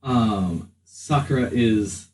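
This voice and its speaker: A man's voice: lowish voice, drawn-out words, a little mellow, distant mic. A man narrating a tabletop game casually as game master. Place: indoor room with other players. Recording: echoey room, off mic, faint noise.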